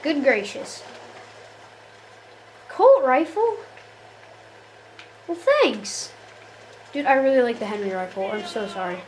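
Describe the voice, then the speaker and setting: A woman's voice: on the high side, big pitch swings, slow pace, pauses often, slight background noise, low-quality recording. A woman giving casual, jokey commentary while playing a shooter game, often exasperated and talking to the characters on screen. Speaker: gamer.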